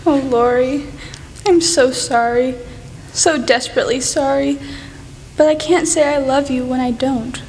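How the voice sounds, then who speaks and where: A teenage woman student playing an earnest, eager young writer in a radio play, expressive and forthright. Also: high-pitched, unhurried, a little hiss or hum. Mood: sorrowful, firm. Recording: echoey hall, music behind.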